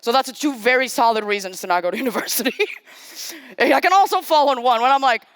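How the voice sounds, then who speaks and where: A woman's voice: a little high, quick pace, clear, resonant tone, no room sound. A young woman from Portugal, giving a casual, self-deprecating live-coding talk on a conference stage. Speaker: conference speaker.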